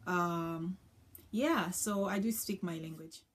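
A young woman vlogs in a small room, her voice a little on the low side. She speaks, unhurried, filling gaps with ums and uhs. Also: noisy background. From Congo. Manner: chatty, with many ums and uhs. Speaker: vlogger. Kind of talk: casual talk to camera. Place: small indoor room with floral curtain.

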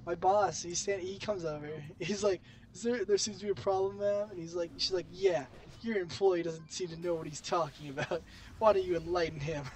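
A man's voice: sits high, steady tone, fairly quick, crowd noise. A teenage man with a cold, chatting and telling stories bluntly with lots of swearing as a gaming channel co-host. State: sick with a cold. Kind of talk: casual profane chat and storytelling over gameplay. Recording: clearly noisy home game recording.

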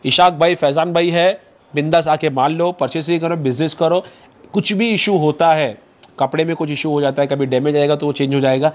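A man in his thirties hosting a vlog, pitching clothes to viewers in quick, persuasive patter, recorded on a lapel mic in an indoor shop. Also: steady tone. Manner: fast, persuasive salesman patter addressing viewers. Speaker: vlogger host. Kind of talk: promotional market vlog.

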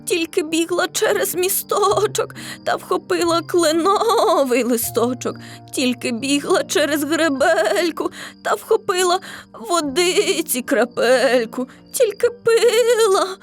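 A sly nanny goat from a children's storybook, played by a woman, bleats out rhymes in a very high, singsong whine. The goat is plaintive and boastful, drawing the words out slowly. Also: animated, faint music behind, heavily acted. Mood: plaintive, whining. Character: sly whining female goat, feigning hunger, boastful and menacing.

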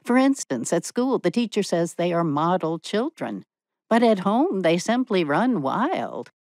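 A woman voicing an opinionated, sharp-tongued spinster who gossips with contempt and bitterness in a storybook reading. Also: on the low side, big pitch swings, a little slow, no room sound. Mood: indignant gossip.

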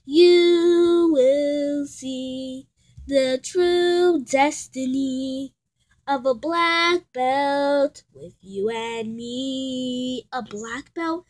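A proud karate-master number block, put on by a woman in a homemade paper-cutout play. The voice sits high and the lines come out very slowly, chanted like a sing-song. It sounds like a tabletop game at home. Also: darkish tone, faint music behind. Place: indoors at a tabletop.

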